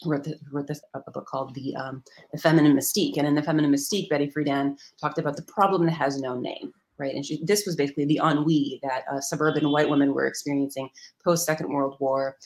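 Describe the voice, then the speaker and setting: A woman author explaining ideas in an interview, talking quickly with lots of hedging and restarts. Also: low-pitched, fairly even intonation, darkish tone, low-quality recording. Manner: rapid, hedging, many restarts. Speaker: guest author.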